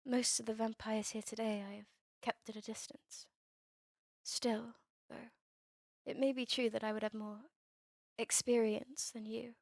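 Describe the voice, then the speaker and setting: A woman playing a melancholy, courteous vampire in an ASMR roleplay drama, with formal, old-fashioned, measured phrasing. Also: a little high, flat delivery, gravelly, no echo, studio quality. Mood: guarded. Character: melancholy, courteous female vampire, turned young over a century ago.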